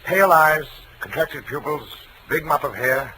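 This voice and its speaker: A man's voice: fairly high pitch, expressive, unhurried. A man playing a grave, evasive asylum doctor in 1940s radio style, speaking formally and with dramatic emphasis. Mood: grave, urgent. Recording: noisy vintage radio recording.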